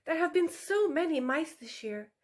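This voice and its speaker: A woman's voice: extremely high pitch, animated, normal speed, pitched above the performer's own voice. A woman voicing an adult female fox in a children's animal story, anxiously polite and placating, with forced cheerfulness. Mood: falsely cheerful, appeasing.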